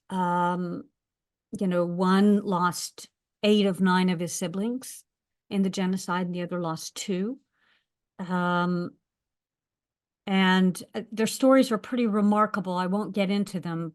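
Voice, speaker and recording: A woman with an American accent, a university lecturer, speaking on a webinar over a video call, with many filler words. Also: on the low side. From United States. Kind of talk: webinar talk.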